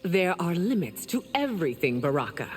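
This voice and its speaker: An actress playing a proud, stern middle-aged warrior empress in a video game, speaking formally and with regal command. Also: female voice, lowish voice, big pitch swings, normal speed. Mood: cold, unyielding. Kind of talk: dramatic video game dialogue.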